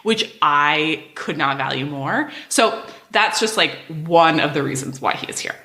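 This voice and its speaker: A woman in her thirties hosts a podcast in a lively, animated way, her pitch swinging widely. Her voice is low-pitched yet bright and forceful, and it rings in an echoey room. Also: measured pace. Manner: animated, laughs often. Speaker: podcast host. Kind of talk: casual podcast chat.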